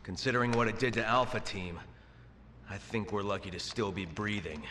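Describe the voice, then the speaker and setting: A man's voice: fairly low pitch, moderate pace, pushed and bright, slight background noise. An American actor playing a weary special operations soldier in his thirties, terse and serious, in a video game cutscene. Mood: grim, serious. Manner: terse, serious military delivery.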